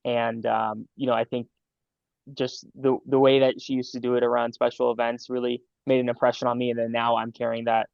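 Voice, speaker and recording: Guest: young man, medical student, gravelly and monotone, chatty and halting, dull dry recording. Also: mid-range voice, no echo. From Los Angeles, California. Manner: friendly, halting with fillers and repeats. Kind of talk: casual interview answers.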